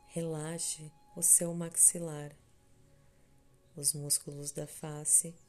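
A Brazilian woman guides a meditation in a low, mellow voice. She speaks slowly and soothingly, leaving long pauses between short, measured phrases. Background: background music.

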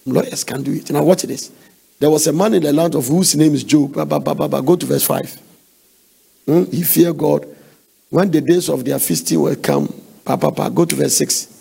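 Gravelly, raspy Ghanaian preacher man. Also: on the high side, normal speed, dark tone. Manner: emphatic, repetitive, conversational asides. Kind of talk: sermon with questions to the congregation.

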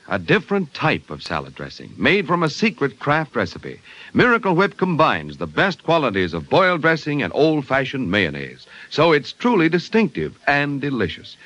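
A man with a mid-pitched voice announces in old-time radio style. His intonation sweeps up and down, polished and emphatic, clearly reading a script. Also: fairly bright tone. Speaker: announcer and narrator.

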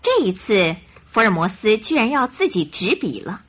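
A woman narrator tells a radio drama story with very lively, expressive intonation. Her scripted, dramatic delivery sits over clearly audible music. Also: medium pitch, normal speed.

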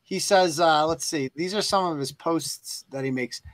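A middle-aged man hosting a live talk show, chatting casually and reading posts aloud with animated laughs. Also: a little high, moderate pace, no room sound. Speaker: host.

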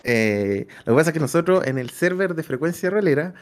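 A man's voice: a little high, expressive, fairly quick. A man with a Chilean accent chatting casually and colloquially as co-host of a role-playing livestream. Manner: colloquial, slangy.

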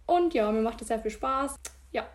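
Giggly young woman with a high voice. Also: animated, moderate pace, darkish tone. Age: 20s. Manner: giggly, playful. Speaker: guest. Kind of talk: casual chat.

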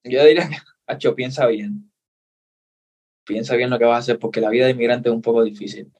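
A man with a Cuban accent talks as an interview guest. His voice sits at an ordinary middle pitch. He speaks earnestly, repeating phrases and dropping in friendly asides. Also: steady tone, a little fast, darkish tone, muffled recording. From Cuba. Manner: earnest, repeats himself, says brother. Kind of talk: casual interview.

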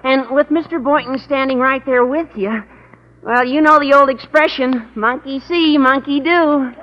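A man's voice: very high-pitched, measured pace. A man playing an eager, gushing, earnest American teenage schoolboy in old radio comedy. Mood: excited, scheming. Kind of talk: 1940s-50s radio comedy acting. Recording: muffled, hissy, reverberant old radio recording.

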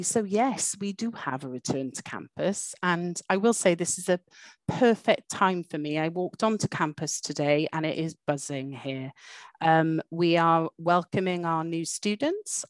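A middle-aged woman with a Welsh accent, answering interview questions as a university dean in a measured way with some hesitant fillers. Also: fairly low pitch, expressive, no room sound. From Wales.

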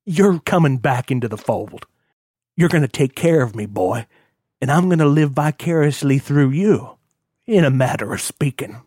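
A man's voice: mid-range voice, animated, normal speed, warm tone, husky, no echo, clean studio sound. A man voicing a stern, bitter, ailing old rich father in an audiobook, scolding and folksy as he gives orders. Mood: gloating. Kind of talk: audiobook character acting.

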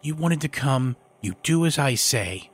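A man playing a gruff, bossy hunter in an audio story, husky and commanding, in short clipped orders. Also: mid-range voice, expressive, a little slow, voice pushed up.

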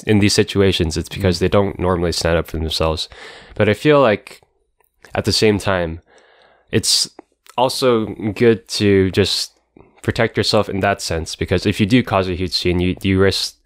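A young man in casual chat, calm and measured, reasoning things through carefully. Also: deep voice, smooth, dry recording, studio quality. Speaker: podcast co-host.

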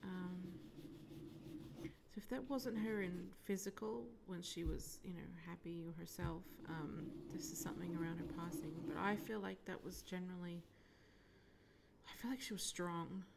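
A woman with a heavy, gravelly fry in her voice, hoarse and croaky as she talks. She speaks at a middling pitch and drifts between hesitant musing and half-whispered asides while she gives a psychic reading. A faint hiss sits under her voice. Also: moderate pace. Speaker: psychic medium. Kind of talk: solo psychic reading monologue.

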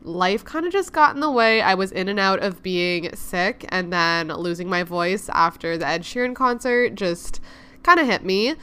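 A woman hosting a podcast in a chatty, upbeat way. Her voice sounds a little congested, yet it stays smooth and clear. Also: mid-range voice, normal speed, sharp tone. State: congested, recovering from lost voice. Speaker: podcast host. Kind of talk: casual podcast chat.

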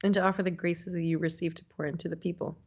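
A woman's voice: lowish voice, level tone, racing, a little mellow, dull audio. A woman co-hosting a podcast in casual chat, bubbly, laughing easily and saying "like" often. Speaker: podcast co-host.

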